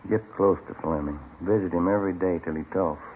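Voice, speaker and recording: A deep, plain-spoken detective sidekick from a 1950s radio drama. He is practical and easygoing. The old recording is muffled, with a light hiss. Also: fairly quick. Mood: persuasive. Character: practical, easygoing police detective, the lead's partner.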